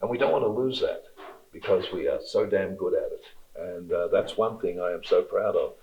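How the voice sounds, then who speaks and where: Deep-voiced Australian man, candid and emphatic. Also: moderate pace, dull audio. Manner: candid and emphatic, talks freely. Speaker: actor being interviewed. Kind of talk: casual interview.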